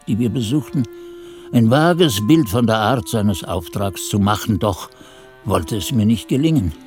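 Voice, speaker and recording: An actor playing a pious, frail old Benedictine monk who narrates a radio drama in solemn, archaic, formal phrases. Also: male voice, lowish voice. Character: pious aged Benedictine monk, frail chronicler of his youth.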